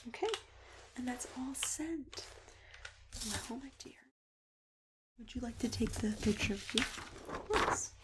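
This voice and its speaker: A woman speaking softly and breathily, often near a whisper, in a gentle, reassuring ASMR roleplay as a friendly matchmaker. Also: on the high side, very noisy. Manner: gentle, reassuring, unhurried customer-service patter.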